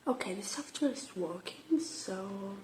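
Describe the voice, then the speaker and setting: A woman doing an ASMR roleplay as a repair technician, whispering softly with gentle pauses. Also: mid-range voice, lively intonation, noisy background.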